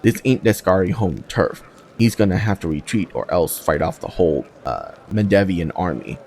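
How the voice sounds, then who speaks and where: A man's voice: low-pitched, expressive, normal speed, a little mellow. A man voicing a plucky, plain-spoken young woman soldier from a fantasy game, with a breezy, wry manner. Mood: wry, upbeat.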